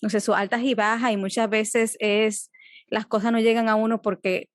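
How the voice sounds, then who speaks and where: A woman's voice: a little high, level tone, normal speed, no room sound. Dominican woman, reflective, dry sound. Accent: Dominican Spanish. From Dominican Republic. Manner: reflective, restarts and fillers, slips into English phrases. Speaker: guest, psychologist. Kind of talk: casual interview conversation.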